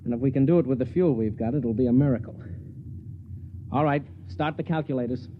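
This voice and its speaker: An actor playing a resolute, weary spaceship captain and husband, terse and authoritative, in 1950s radio drama style. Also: male voice, medium pitch, fairly quick, natural voice. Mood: commanding. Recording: muffled, hissy old radio broadcast.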